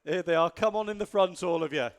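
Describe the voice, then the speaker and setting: A man with a smooth, clear, slightly high voice hosts a live esports event. He sounds upbeat as he calls players forward and runs the stage. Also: fast talker. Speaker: co-caster and stage host.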